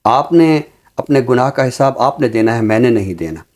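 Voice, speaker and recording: A man speaking in a measured, deliberate and earnest way, explaining his views as a talk show commentator with a literary vocabulary. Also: mid-range voice, lively intonation, a little mellow.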